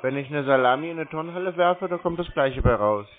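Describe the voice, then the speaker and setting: A man bantering casually on a phone call in a deadpan, cheeky manner. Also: a little high, a little fast. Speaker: prankster answering scam calls. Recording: dull lo-fi phone-call recording.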